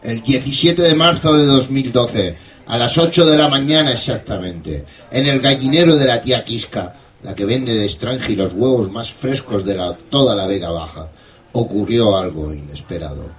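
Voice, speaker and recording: A man's voice: mid-range voice, moderate pace, a bit bright, natural voice. A man narrating a comic radio play in a mock-formal, precise storytelling manner.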